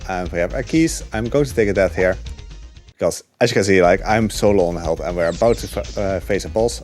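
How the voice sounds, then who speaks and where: A man with a fairly low voice explains his speedrun as he plays. He talks in an easygoing, chatty way, with frequent "uh"s between thoughts. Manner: relaxed, chatty, many fillers. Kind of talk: live gameplay commentary.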